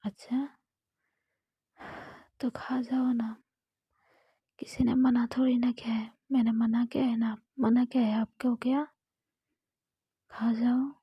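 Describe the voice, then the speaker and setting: A woman's voice: fairly high pitch, level tone, slow, long pauses, warm tone, husky, dry recording, exaggerated voice. A woman whispering the part of a playful, teasing young woman lover in a romantic story. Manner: whispered throughout.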